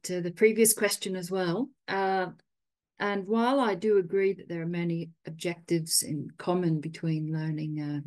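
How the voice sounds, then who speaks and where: A woman on an online panel, giving a thoughtful unscripted answer as a researcher. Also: on the low side. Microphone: video call.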